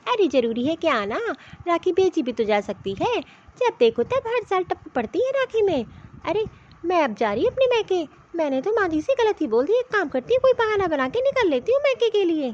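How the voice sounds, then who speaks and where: A woman's voice: extremely high pitch, big pitch swings, normal speed, darkish tone. A woman voicing a scheming, grumbling young daughter-in-law in a comedy cartoon, recorded with somewhat dull sound. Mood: annoyed, scheming. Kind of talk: Hindi comedy cartoon story dialogue.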